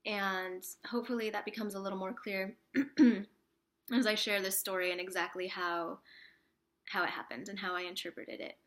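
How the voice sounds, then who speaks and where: A woman with a cold, sniffly and a little rough, chatting casually to camera as a spiritual vlogger, rambling with lots of fillers and restarts. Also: mid-range voice, flat delivery, moderate pace, fairly bright tone.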